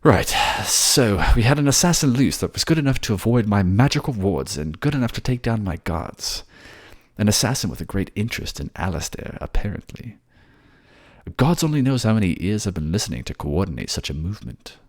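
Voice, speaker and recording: A man playing a brisk, soothing, protective mage king in a fantasy audio drama, with animated, emphatic delivery. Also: on the low side, pitched above the performer's own voice. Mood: businesslike.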